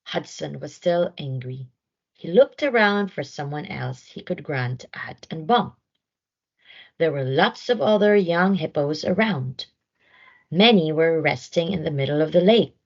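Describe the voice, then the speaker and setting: A woman reading a children's story aloud in an expressive narration style, on a slightly dull recording. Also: low-pitched, a little slow, creaky. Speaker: storybook reader.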